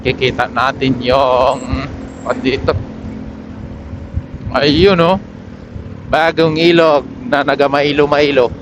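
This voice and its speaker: Guy from area, mid pitched voice, casual moto vlog rambling on helmet mic, street traffic behind him. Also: fairly even intonation, slow, long pauses, highs cut off. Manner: unscripted running commentary. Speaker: motorcycle vlogger. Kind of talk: casual vlog chat while riding. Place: outdoors on a motorcycle in city streets. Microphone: helmet intercom microphone. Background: vehicles and outdoor street noise.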